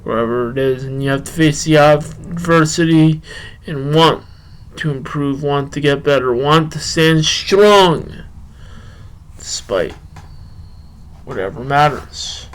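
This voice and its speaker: A man talking and reading poetry solo as a podcast host, halting, with drawn-out phrases and long pauses. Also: a little high, clear, resonant tone. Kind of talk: rambling solo talk and poem reading.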